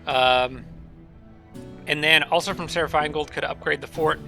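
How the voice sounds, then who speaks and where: A man casually commentating a strategy game, thinking aloud and correcting himself, his voice a little raspy and nasal from allergies. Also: on the high side, fairly even intonation, normal speed, pushed and bright, soft background music. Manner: thinking aloud, rambling with restarts.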